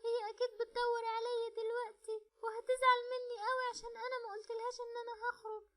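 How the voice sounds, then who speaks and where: A woman's voice: very high-pitched, flat delivery, very fast, bright, forceful tone, voice pushed up. A woman voicing a frightened, tearful little girl with an Egyptian accent, pleading and whimpering. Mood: scared. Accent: Egyptian Arabic.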